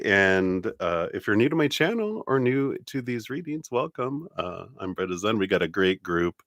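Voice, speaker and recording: A man hosting a live tarot reading stream, talking casually and gently to viewers with a congested, nasal voice and many fillers. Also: mid-range voice, dry recording, clean studio sound. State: congested, nasal from a stuffy nose.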